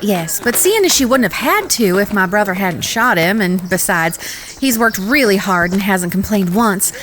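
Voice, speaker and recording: A woman playing a genteel, firm-willed young frontier lady in a Western audio drama, polite, folksy and gently bossy. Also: medium pitch, lively intonation, moderate pace, a little hiss or hum, close to an everyday voice. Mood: reasoning.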